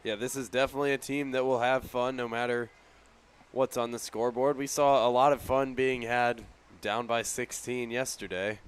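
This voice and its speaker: A man provides color commentary on a baseball game. His voice sits at an average male pitch. He speaks analytically, as a broadcast partner would. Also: little pitch movement, moderate pace, clear, resonant tone.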